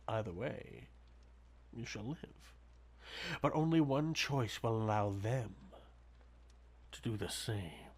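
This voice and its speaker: A man playing a sadistic, possessive centuries-old vampire master in an intimate ASMR roleplay, murmuring and whispering breathily with menacing condescension. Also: mid-range voice. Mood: menacing, taunting.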